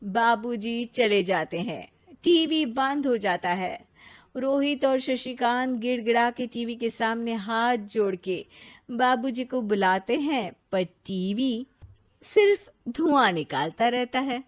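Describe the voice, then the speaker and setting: A woman narrates a scripted moral tale. Her voice sits fairly high as she tells the story in the role of narrator. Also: animated, takes it slowly.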